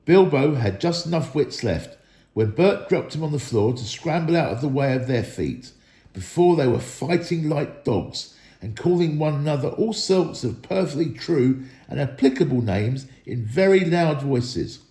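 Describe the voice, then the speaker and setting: Man, dramatic story narration, expressive intonation, mellow tone, reading aloud in a roomy space. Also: a little high, moderate pace. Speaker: school staff member reading aloud. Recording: echoey room.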